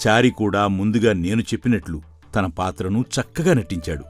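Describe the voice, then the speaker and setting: A man playing a stern, knowing sage in an animated folktale, admonishing and explaining. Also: fairly low pitch, moderate pace, faint music behind, lightly acted. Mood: satisfied, explaining. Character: stern male sage, secretly a scheming magician in disguise.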